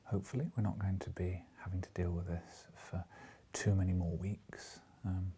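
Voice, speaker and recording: A British man with a husky, gravelly voice, reading an audiobook and speaking informally in a gentle, unhurried manner. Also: sits low, level tone. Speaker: audiobook reader and host. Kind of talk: audiobook narration with informal asides.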